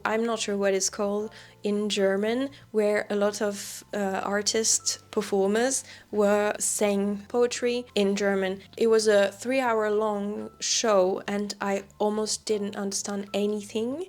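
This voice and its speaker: Young lady, language teacher vlogging tips, relaxed and chatty, normal mid pitch. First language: French. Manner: chatty, relaxed, with light laughs. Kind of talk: casual advice vlog.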